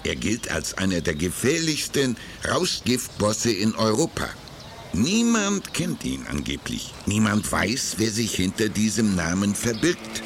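An actor playing a grave martial arts trainer in a children's audio drama, speaking in a dramatic, ominous way. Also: male voice, on the low side, sing-song, unhurried, a little hiss or hum.

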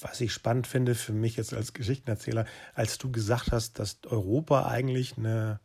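A man's voice: mid-range voice, fairly even intonation, normal speed, gravelly, darkish tone, breathy edge. A middle-aged man hosting a podcast, chatting casually and asking his guest questions. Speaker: podcast host, storyteller. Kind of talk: casual interview chat.

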